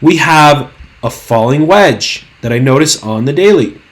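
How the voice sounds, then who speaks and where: A young man talks through stock charts in a loose, excitable way, piling up slang and fillers. His voice is hoarse and worn, as if he is losing it, and sits at an ordinary middle pitch. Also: a little slow. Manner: hyped, slangy, rambling with fillers. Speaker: trading channel host. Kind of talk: casual screen-share market commentary.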